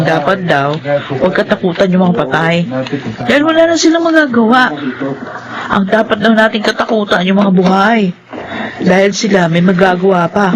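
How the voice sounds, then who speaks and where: A woman's voice: mid-range voice, natural voice. An earnest, unafraid young woman embalmer with a Filipino accent , played by an actress. It is acted for horror radio. The sound is a little dull, with chatter behind. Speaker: voice actor in a radio drama. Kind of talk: Tagalog radio horror drama acting.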